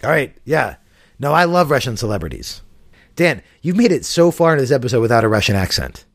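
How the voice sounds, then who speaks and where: Man, podcast co-host, wry and dry humor, relaxed, dry close sound. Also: mid-range voice, brisk, vocal fry, no room sound. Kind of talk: casual podcast chat.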